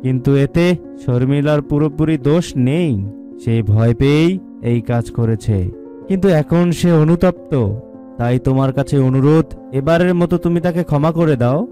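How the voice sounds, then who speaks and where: A man playing a solemn, conciliatory village tantric in a cartoon, speaking in a measured, admonishing way. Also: on the high side, dark tone, faint music behind, close to an everyday voice. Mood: solemn pleading. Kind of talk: cartoon dialogue.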